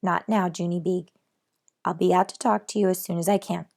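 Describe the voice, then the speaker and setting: A woman's voice: on the low side, fairly even intonation, fairly quick, vocal fry, a little mellow. A woman voicing a tired, grumpy mother who speaks curtly and impatiently. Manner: curt and impatient.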